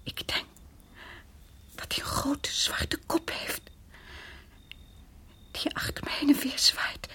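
A woman's voice: slow pace, long pauses, sharp tone, whispered. A woman acting in a radio play as an anxious, insistent wife who falters and repeats herself. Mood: frightened, hushed. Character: frightened wife, frozen still, anxiously insistent.

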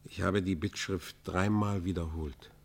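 Deep-voiced, proud, remorseful outlaw. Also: male voice, fairly even intonation, unhurried, gravelly, exaggerated voice. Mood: proud, bitter. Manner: formal, declamatory, emphatic. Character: proud, remorseful outlaw robber pleading for mercy. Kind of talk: German radio play, classical literary acting.

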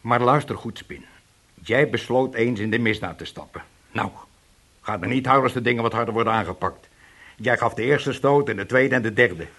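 An actor playing a senior police chief in a Dutch radio play, fatherly but unbending, speaking with blunt, measured authority. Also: medium pitch, fairly bright tone. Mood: stern, lecturing.

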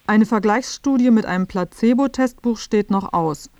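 A woman's voice: mid-range voice, normal speed, darkish tone, a little hiss or hum. A woman reading scripted narration for a radio feature. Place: dry studio.

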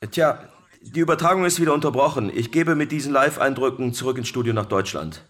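An actor playing a concerned German correspondent in a radio play, putting formal, urgent questions during a live disaster report. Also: male voice, mid-range voice, animated, quick pace, very noisy, close to an everyday voice. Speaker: foreign correspondent.

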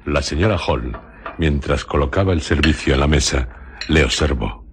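A man narrating a radio drama in a scripted literary style, delivering it in measured, separate phrases. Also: very deep voice, a little hiss or hum.

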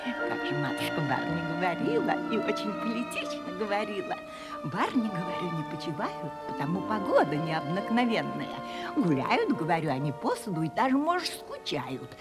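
Sly servant woman, obsequious, very animated pitch, folksy gossip, 1970s radio drama, music behind. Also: normal speed. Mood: sly, coaxing. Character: fawning, gossiping female servant of a lady. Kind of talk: 1970s Russian radio play acting.

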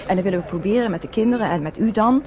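A woman's voice: medium pitch, very fast, natural voice. An actress playing a resourceful, caring young Frenchwoman who takes charge in a wartime radio drama. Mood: brisk, instructing. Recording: dull, noisy old radio play recording.

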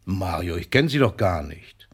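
An actor playing a wry, indulgent elderly poet in a German radio play, with a husky voice and a measured, avuncular manner. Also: male voice, low voice, expressive, exaggerated voice. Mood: gently impatient. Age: older.

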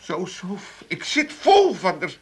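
An actor playing a gruff, sentimental old family father in a comedy radio play, with an Amsterdam accent and a halting, dramatic delivery. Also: high-pitched, wildly swooping pitch, heavily acted. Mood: dreamy, excited. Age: older. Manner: dramatic, halting, repeats words when moved.

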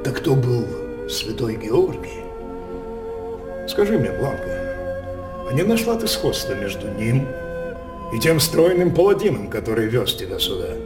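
An actor playing a domineering, middle-aged noble father in a theatrical radio drama, declaiming grandly with slow dramatic pauses. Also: mid-range voice, sing-song. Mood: probing. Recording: roomy, distant stage sound with music.

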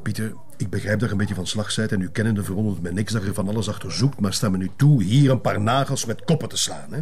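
A man's voice: on the low side, fast talker, darkish tone, lightly acted. A man playing a harried, evasive police chief in a crime drama, with a Flemish Belgian accent and a hesitant, blustering, placating manner. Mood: defensive. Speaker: police commissioner. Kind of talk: naturalistic TV crime drama acting.

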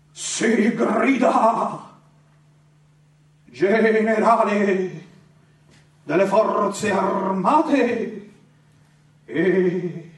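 A grandiose, self-adoring dictator general on a theatre stage. The oratory is extremely slow and halting, high in pitch, with sudden swells, heard from far off in an echoing space. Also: male voice. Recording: echoey theatre stage, distant microphone.

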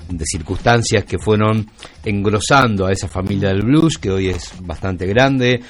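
A man with a Buenos Aires Argentine accent hosting a conversational radio interview, asking long, reflective questions with pauses and repeated words. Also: low-pitched, a little hiss or hum. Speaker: radio show host interviewing musicians.

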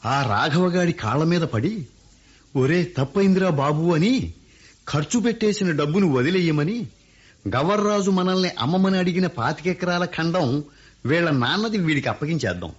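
A scheming landowner who plots persuasively. His voice is husky and rises and falls widely. The sound is muffled, like an old broadcast. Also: fairly high pitch, expressive, moderate pace. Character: scheming older landowner plotting over family land. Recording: dull lo-fi radio recording.

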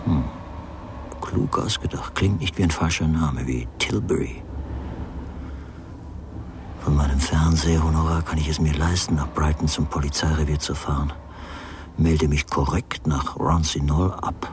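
An actor playing a brooding, cynical writer who muses slowly and sardonically to himself in a radio drama. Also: male voice, a bass voice, steady tone, background noise. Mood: sardonic, musing. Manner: slow, sardonic inner monologue.

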